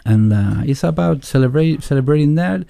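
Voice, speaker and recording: A man with an Argentine Spanish accent and a gravelly, very dark voice. He talks in a low register, thoughtful and halting, reaching for the right words as a musician guest in an interview. The sound is close and dry. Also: animated, no room sound. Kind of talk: casual interview conversation.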